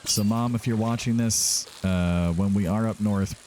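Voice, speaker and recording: A man's voice: on the low side, steady tone, unhurried, noisy background. A man doing casual let's-play commentary as a gaming host, chatting to himself and to the game's characters. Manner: talks to himself and to game characters.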